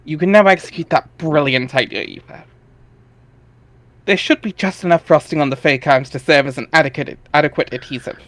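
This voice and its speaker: A man's voice: on the high side, brisk, a bit bright, dry recording. A man reading scripted second-person narration for a webcomic dramatic reading.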